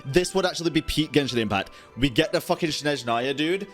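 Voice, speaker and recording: A man's voice: on the high side, animated, fairly quick, a bit bright. A man reacting to a video game as a streamer, casual, slangy and sweary. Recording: faint game music behind. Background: video game music.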